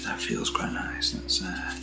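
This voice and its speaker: A British man speaking softly in an ASMR unboxing style, hushed and often slipping into a whisper, as the host of the video. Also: a little slow, loud music behind the voice. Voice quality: soft, often dropping to a whisper. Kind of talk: soft-spoken ASMR unboxing.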